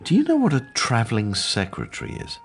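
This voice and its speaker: A man's voice: medium pitch, huge pitch swings, brisk, vocal fry, husky, soft background music, full character voice. A British man playing a weary, disillusioned police detective in a radio drama, grumbling in plain-spoken style. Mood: subdued. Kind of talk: naturalistic radio drama acting.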